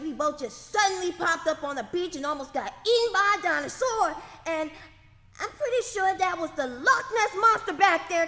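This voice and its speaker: A woman playing an excitable, whiny young boy in a radio drama, easily scared and bratty. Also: very high voice, big-room sound, full character voice.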